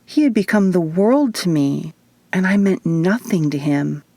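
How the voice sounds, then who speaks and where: A woman's voice: fairly low pitch, expressive, measured pace, dark tone, no echo. A woman voicing a mischievous, eager young hybrid girl in an audiobook fantasy story, impulsive and bold. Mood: hurt, indignant.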